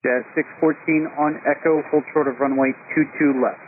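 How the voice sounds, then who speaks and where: A man's voice: on the high side, fairly even intonation, normal speed, dry recording. A man working as an air traffic tower controller, giving clipped instructions over aviation radio. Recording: tinny narrowband radio with faint static.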